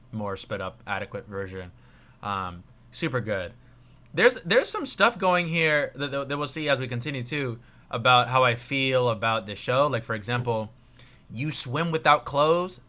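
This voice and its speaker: Young male streamer, bright tone, rambling review chat, drops to a mumble often. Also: mid-range voice. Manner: rambling, many fillers, trails off quietly. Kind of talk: casual review chat on a livestream.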